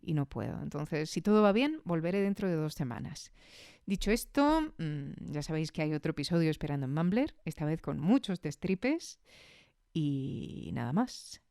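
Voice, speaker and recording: A woman's voice: lowish voice, mellow, smooth, no room sound, studio quality. A woman with an accent from Spain hosting a podcast, giving a casual, reflective spoken review with conversational tag questions.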